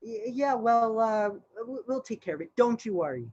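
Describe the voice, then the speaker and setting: A woman playing a slow, bumbling police sergeant in a radio play, hesitant and mumbling. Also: fairly high pitch, animated, darkish tone, slightly rough, dull audio. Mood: placating. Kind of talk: stage radio play acting.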